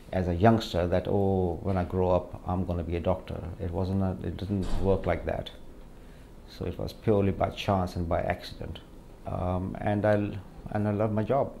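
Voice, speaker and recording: A middle-aged man from Pakistan, a surgeon speaking hesitantly and reflectively with many pauses in a documentary interview. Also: deep voice, little pitch movement, creaky, dark tone, a little hiss or hum. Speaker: hand surgeon.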